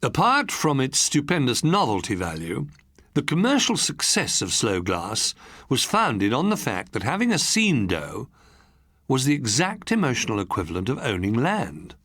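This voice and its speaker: Expressive male storyteller with lively intonation. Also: mid-range voice, husky, no room sound, studio quality. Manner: measured, expressive, literary delivery. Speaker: audiobook narrator. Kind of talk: scripted first-person story narration.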